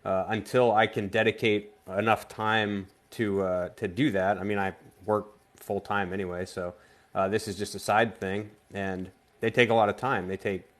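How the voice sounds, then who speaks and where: A man from Vermont, a guest artist on a casual live-stream interview, speaking in a halting way with frequent restarts. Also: on the low side, level tone. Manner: halting, stops and restarts often.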